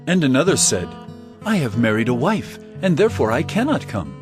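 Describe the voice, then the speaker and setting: An earnest teacher delivers parables with measured, emphatic oratory. His voice sits a little high and swings widely in pitch, with music clearly behind it, in the manner of an audio drama. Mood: storytelling. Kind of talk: audio drama Bible acting.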